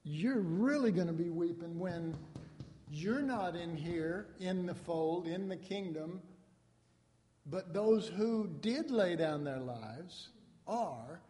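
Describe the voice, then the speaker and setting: A man delivers a conversational sermon, reading verses aloud and breaking them down. His voice sits a little high but sounds mellow. He plays out the quoted lines with rising emphasis, and a long reverb trails behind him as in a church hall. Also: animated, takes it slowly. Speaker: preacher. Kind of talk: sermon teaching on scripture.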